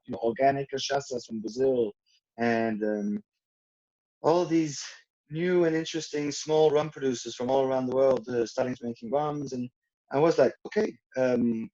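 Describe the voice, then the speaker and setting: A middle-aged man from Denmark talks casually over a video call, his voice mellow and low-key. He rambles along with plenty of fillers and restarts. The call audio sounds dull and muffled. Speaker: guest, rum brand owner.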